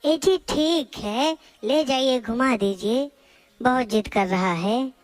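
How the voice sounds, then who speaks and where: A woman playing an indulgent village wife and mother in a children's cartoon, gently persuasive. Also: high-pitched, takes it slowly, faint music behind. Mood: coaxing. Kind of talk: children's cartoon story acting.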